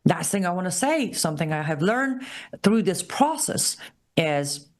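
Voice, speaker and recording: A woman with an Iranian accent hosting a political commentary show, speaking emphatically and repeating key phrases slowly. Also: fairly low pitch, big pitch swings. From Iran. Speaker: show host.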